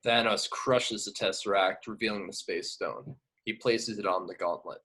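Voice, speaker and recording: A man's voice: on the low side, fairly even intonation, normal speed, sharp tone, husky. A man narrating scripted action descriptions at a table read. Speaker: stage direction narrator.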